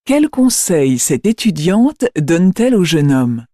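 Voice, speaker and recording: A woman narrates a language exam, reading scripted questions. Her delivery is slow and her tone dark and mellow, recorded very dry. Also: fairly low pitch, sing-song, no echo.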